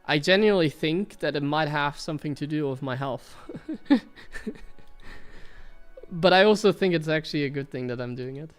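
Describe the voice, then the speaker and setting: A man's voice: a little high, normal speed, clean tone, soft background music. German man rambling over his gameplay. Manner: rambling, self-critical, frank. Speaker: streamer. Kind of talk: casual commentary on his own gameplay.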